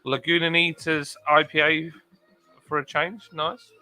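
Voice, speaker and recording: A man's voice: fairly high pitch, little pitch movement, takes it slowly, pushed and bright, rough recording. A man hosting a casual live stream, chatting and reading out viewer comments with plenty of fillers. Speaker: livestream host. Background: faint country music.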